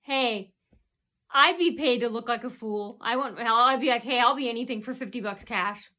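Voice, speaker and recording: Bright-voiced woman rambling with fillers. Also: fairly high pitch, normal speed. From United States. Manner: meandering, keeps restarting with "so anyway"; heavy fillers, um and uh. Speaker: vlogger. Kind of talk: rambling casual monologue.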